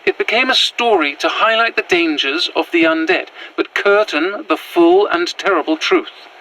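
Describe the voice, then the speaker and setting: Audio drama: woman plays revived Victorian lady, formal antique diction, bright voice, noisy field recording. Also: lowish voice, moderate pace, natural voice. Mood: measured, formal. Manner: formal, old-fashioned, prim diction. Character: prim Victorian widow, a revived spirit in another's body. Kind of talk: found-footage audio drama monologue.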